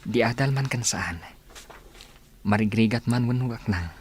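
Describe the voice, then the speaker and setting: A man with an Ilocano accent playing a gentle, smitten young suitor in a radio drama. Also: mid-range voice, moderate pace, a little hiss or hum, lightly acted. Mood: earnest, romantic.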